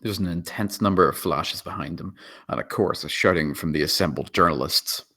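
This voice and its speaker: A man's voice: deep voice, brisk, pushed and bright, husky. A man narrating stage directions in a dramatic screenplay table read.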